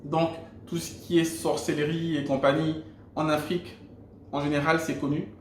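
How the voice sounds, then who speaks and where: A man's voice: on the high side, flat delivery, normal speed, a little hiss or hum. Gabonese man telling a testimony, roomy sound. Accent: Gabonese French. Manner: earnest storytelling with frequent restarts. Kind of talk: personal faith testimony. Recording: roomy echo.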